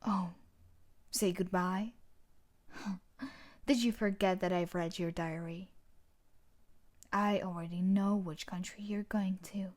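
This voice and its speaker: A woman's voice: lowish voice, fairly even intonation, slow pace, pauses often, darkish tone. A woman playing a tough, sadistic and obsessive young female bully in a dramatic audio roleplay, taunting and threatening, often dropping toward a whisper. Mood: bitter, mocking.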